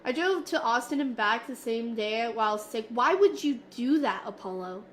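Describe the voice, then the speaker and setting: A woman's voice: a little high, expressive, normal speed, slight background noise. Nasal, giggly woman streamer. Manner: playful, giggly, chatty. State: stuffy from a cold. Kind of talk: casual livestream chat with viewers. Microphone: desk mic, moving closer and farther.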